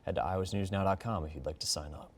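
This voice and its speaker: A man's voice: sits low, quick pace, slight background noise. A man reading scripted local news as a television anchor.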